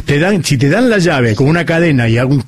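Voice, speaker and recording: A man's voice: fairly high pitch, expressive, brisk. A man with an Argentine accent hosting a show in casual, joking chat. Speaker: radio or TV show host.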